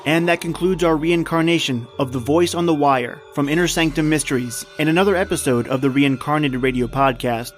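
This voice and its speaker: A man's voice: fairly high pitch, fairly quick, hardly any pauses, faint music behind. A man hosting a podcast, reading a scripted sign-off. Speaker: podcast host.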